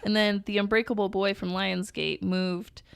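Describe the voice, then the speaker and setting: A woman in her thirties with a nasal voice and a cold, chatting as co-host of a podcast. She talks quickly and breaks into laughter often. The sound is dry and close, with no room around it. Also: medium pitch, little pitch movement, vocal fry, clean tone, dry recording. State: has a cold. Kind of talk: casual chat.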